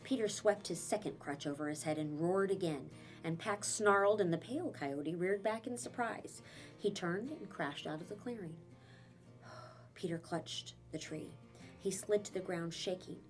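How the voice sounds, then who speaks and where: A woman, a teacher, reading a children's novel aloud in an expressive, dramatic way with emphatic phrasing and pauses. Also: on the low side, soft background music. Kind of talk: expressive children's storybook narration.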